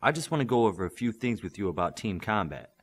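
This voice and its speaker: A man's voice: lowish voice, very animated, fast. A man playing a patient, firm superhero mentor in an audiobook, coaching and instructing steadily. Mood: instructive.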